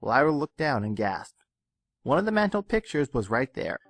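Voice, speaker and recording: A man reading a fan fiction story aloud in an expressive, dramatic storytelling manner. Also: medium pitch, normal speed, very noisy. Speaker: audiobook-style reader of fan fiction. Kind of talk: scripted story narration. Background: background music.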